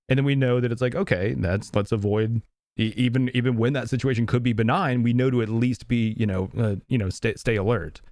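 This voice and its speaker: A man thinks aloud on a casual movie podcast, hedging and trailing off as he works out his point. His mid-pitched voice sounds close and dry, with no room around it. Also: a little mellow, no echo. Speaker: podcast co-host.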